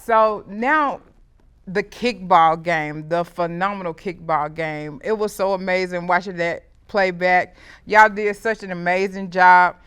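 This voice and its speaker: A woman's voice: on the low side, measured pace. A woman hosting a reality show reunion, asking cast members questions with encouragement and frequent laughter. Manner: warm, encouraging, laughs often. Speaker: reunion host. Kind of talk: casual interview questions.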